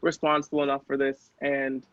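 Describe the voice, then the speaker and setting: A man's voice: medium pitch, completely flat delivery, normal speed, fairly bright tone, clean tone, dry recording. A man casually telling a personal story in a rambling, hesitant, self-questioning way. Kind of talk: casual personal anecdote chat. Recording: dull, dry, lo-fi audio.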